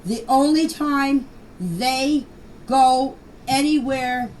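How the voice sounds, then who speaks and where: An older woman with a high voice gives a blunt, emphatic personal account. She talks slowly and deliberately, as in an unscripted voice message. The amateur clip is noisy and picked up from a distance. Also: darkish tone. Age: 60s or older. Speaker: grandmother giving her personal account.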